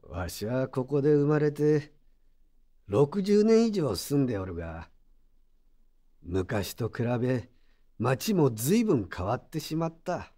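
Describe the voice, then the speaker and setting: An actor playing a gruff but kindly old craftsman over sixty in an audio drama, with old-fashioned, admonishing yet reassuring speech. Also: medium pitch, sing-song, slow pace, long pauses, warm tone. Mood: gentle, wise. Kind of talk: Japanese audio drama acting.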